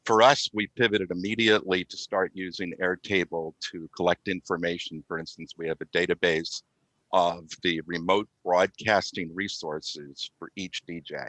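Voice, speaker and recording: A man's voice: low voice, steady tone, moderate pace, a bit bright, no room sound, low-quality recording. A man giving explanatory answers as an IT specialist guest in an interview.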